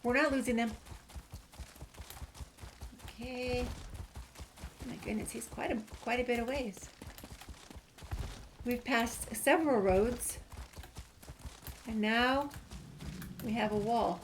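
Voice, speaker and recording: Woman, highish voice, chatty let's-play narration, amused, talking to game characters, light game noise behind. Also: takes it slowly, long pauses. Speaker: gamer playing and commenting. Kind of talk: casual gameplay commentary. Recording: commentary over noisy game ambience.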